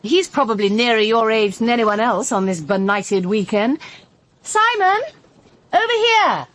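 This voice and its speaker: An actress playing a sharp, scheming, outspoken British woman with a brisk sardonic manner in a radio drama. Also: fairly high pitch, sing-song. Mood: brisk, nosy. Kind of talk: full-cast radio drama acting.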